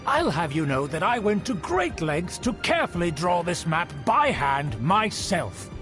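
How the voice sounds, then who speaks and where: An actor playing a pompous, scheming aristocrat in a video game, speaking in a formal, theatrical manner. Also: male voice, high voice, big pitch swings, measured pace. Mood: haughty, boastful. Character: pompous scheming aristocrat man obsessed with clan glory. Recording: game dialogue with music behind.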